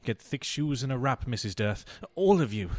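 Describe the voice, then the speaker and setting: A hearty gentleman of a radio play, acted by a man, medium in pitch. He is playful and a little flowery, with lively, bouncing intonation. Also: moderate pace, lightly acted. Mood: jovial, eager. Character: jovial, playful gentleman keen on adventure.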